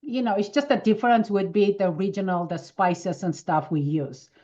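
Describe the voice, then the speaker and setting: A woman in her fifties from Armenia, speaking English with an Armenian accent, telling lively stories as an interview guest. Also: medium pitch, normal speed, lo-fi sound. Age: 50s. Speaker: wellness expert guest. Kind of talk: casual interview answers.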